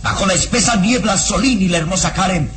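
A man's voice: sits high, a little fast, loud music behind the voice, close to an everyday voice. A man narrating a radio serial in a grandiose, suspenseful, dramatic scripted style.

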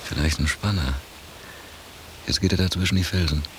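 An actor playing a casual, coaxing German holiday boyfriend in a naturalistic radio drama. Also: male voice, low voice, fairly even intonation, moderate pace, lightly acted. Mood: wry. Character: German holiday traveler Frank, impulsive, amorous, teasing boyfriend. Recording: noisy cassette tape of radio play.

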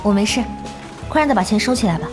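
A woman's voice: medium pitch, expressive, fast talker, close to an everyday voice. A woman playing a stubborn, determined young novice gamer in an audio drama, with a resolute manner. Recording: music bed under the dialogue.

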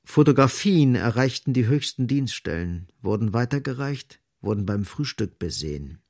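A man narrating a literary radio drama gravely, in short, emphatic phrases. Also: mid-range voice, animated, moderate pace, darkish tone.

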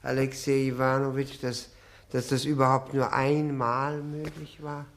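Slow, servile drunken man. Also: mid-range voice, flat delivery, fairly bright tone. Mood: sly, subdued. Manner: obsequious, halting, slyly polite with smirking undertone. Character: drunken, servile, slyly evasive middle-aged widower and official. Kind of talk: German radio play acting.

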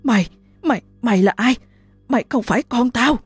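A woman's voice: a little high, fairly quick, breathy edge, no echo, soft background music, voice pushed up. A woman voicing a terrified mother, pleading and stammering in panic. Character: frantic mother, terrified and desperate.

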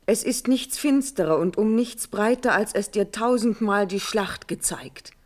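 A woman playing a devoted, brave young noblewoman of classical verse drama. She declaims slowly and solemnly in a medium-pitched voice, pleading with measured dignity. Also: darkish tone, lightly acted. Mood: solemn, tender.

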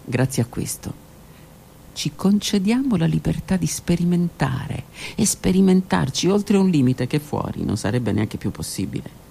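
Gravelly-voiced woman in theatrical monologue. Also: low voice, very animated, moderate pace. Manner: dramatic, swings from hushed whispers to raised declaiming. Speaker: stage actress. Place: radio studio.

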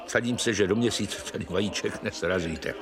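An actor playing an ordinary husband in a radio drama, grumbling sceptically in casual talk. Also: male voice, lowish voice, close to an everyday voice.

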